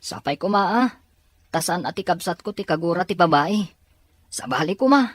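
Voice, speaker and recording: A woman's voice: on the low side, lively intonation, moderate pace, dry recording. A woman playing an earnest, persistent young male student in an audio drama. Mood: worried.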